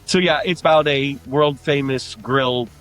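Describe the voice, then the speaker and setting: A man's voice: mid-range voice, unhurried, a bit bright, soft background music. A man co-hosting a podcast, chatting and asking questions casually, with a rambling, tangential way of talking. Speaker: podcast co-host. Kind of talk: casual chat, interviewing.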